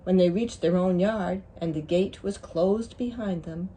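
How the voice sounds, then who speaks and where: A woman reading a picture book aloud to young children in an expressive, deliberate way. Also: on the low side, husky, rough recording. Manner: deliberate, pausing to ask listeners questions. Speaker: children's story reader.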